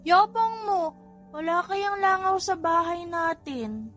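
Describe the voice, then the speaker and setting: A woman's voice: very high voice, takes it slowly, fairly bright tone, soft background music, pitched above the performer's own voice, heavily acted. A woman voicing mischievous little brothers in an audiobook family comedy, whiny, teasing and earnest. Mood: bickering, taunting.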